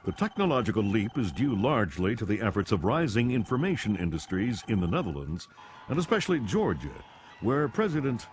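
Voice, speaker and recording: An actor playing a formal, polished male news anchor reading scripted reports in a measured announcer style. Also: on the low side, big pitch swings, noisy background. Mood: formal, crisp. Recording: clear music bed behind the voice.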